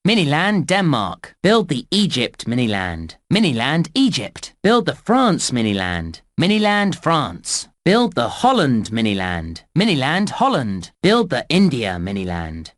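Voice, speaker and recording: An actor playing a cheerful male park announcer, giving scripted narration and clear instructions to young players in a children's game. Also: mid-range voice, wildly swooping pitch, a little slow, hardly any pauses, a bit bright, no echo. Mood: bright, instructive. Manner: upbeat presenter delivery, clear instructions.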